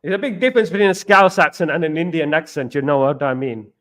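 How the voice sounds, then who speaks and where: Male stream host, high voice, loose repetitive chat reading out viewer comments. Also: fast talker. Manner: chatty, repeats himself, shouts out viewers. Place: China.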